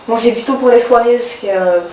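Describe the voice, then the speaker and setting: A woman's voice: a little high, a little fast, slight background noise. A woman with a cold and a runny nose, chatting casually through a haul of her sale purchases, hesitant and full of fillers. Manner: hesitant, many fillers, keeps saying "voilà". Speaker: vlogger showing sale purchases. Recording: echoey, distant, dull lo-fi home video.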